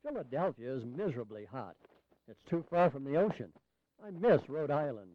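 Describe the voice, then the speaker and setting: An actor playing a frail, droll old delegate in an audio drama, grumbling with dry humour. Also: male voice, fairly high pitch, sing-song, moderate pace, no room sound, lo-fi sound. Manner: grumbling, dryly humorous.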